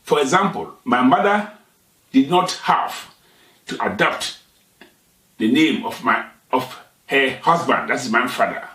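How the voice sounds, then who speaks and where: A man's voice: medium pitch, expressive, pushed and bright, recorded from a distance. Ghanaian man in an echoey room. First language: Twi. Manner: unhurried, explanatory, with pauses and restarts. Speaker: show host. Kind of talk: satirical talk.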